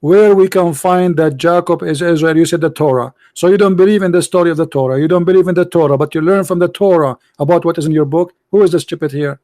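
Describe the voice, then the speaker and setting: A man with hosting a live-stream debate, mocking and interrupting with rapid repeated questions. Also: on the high side, darkish tone, no echo. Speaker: livestream host debating callers.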